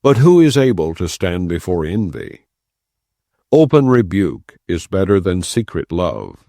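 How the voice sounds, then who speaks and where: A man narrating scripture as an audiobook, reading in a solemn, measured, non-dramatized manner. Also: low voice, very animated, mellow, dry recording, clean studio sound.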